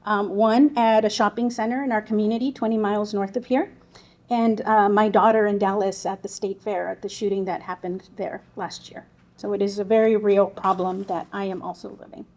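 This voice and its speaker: A woman in her forties from the Texas-Mexico border, a native Spanish speaker, giving a quick, earnest panel talk with small halts. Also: medium pitch, fairly even intonation, darkish tone. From Texas-Mexico border, Southwest Texas. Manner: quick, earnest, slightly halting speech. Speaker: panelist, volunteer group lead. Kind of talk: conference panel presentation.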